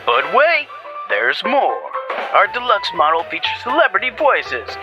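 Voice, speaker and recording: High-pitched, animated 1940s radio announcer. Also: male voice, highs cut off, over music, full character voice. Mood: excited pitch. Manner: theatrical salesman patter with emphatic pauses. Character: peppy, overexcited male 1940s radio commercial announcer.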